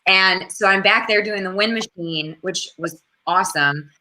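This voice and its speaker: A woman's voice: medium pitch, unhurried, sharp tone, low-quality recording. A young woman, a stage performer, answering a casual interview question over a video call. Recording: video call with faint noise.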